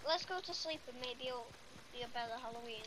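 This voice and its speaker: A woman's voice: fairly high pitch, a little fast. A female gamer chatting her way through an improvised video game roleplay, recorded on a home mic. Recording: very noisy home gaming mic.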